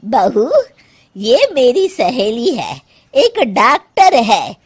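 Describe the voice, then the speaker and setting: A woman's voice: a little high, sing-song, drawn-out words, slightly rough, exaggerated voice. A woman voicing a harried older mother-in-law in a cartoon story, speaking in a scolding, exasperated, homely way. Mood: scheming. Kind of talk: Hindi cartoon story voice acting.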